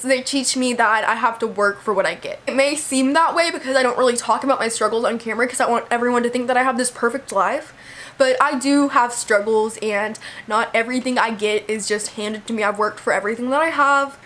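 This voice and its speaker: A young woman from Texas, talking casually to her camera with lots of "like" and "you know", hoarse from a cold. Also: a little high, a little fast, a bit bright, slight background noise. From Texas, USA. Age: young adult. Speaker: vlogger.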